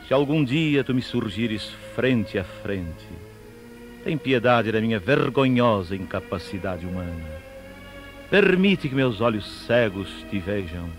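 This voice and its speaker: A man giving solemn, scripted devotional narration with reverent, measured, prayerful phrasing. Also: fairly low pitch, lively intonation, soft background music.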